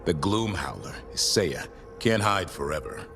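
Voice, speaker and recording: An actor playing a steady, dry-humored warrior in a video game, terse and matter-of-fact. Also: male voice, low voice, measured pace, exaggerated voice. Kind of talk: video game dialogue acting. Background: music.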